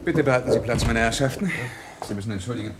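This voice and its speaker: An actor in a German radio crime drama playing a controlled police inspector who questions formally with dry irony. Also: male voice, mid-range voice, fast, very noisy, close to an everyday voice. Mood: businesslike. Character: police inspector, controlled, probing, dryly ironic interrogator.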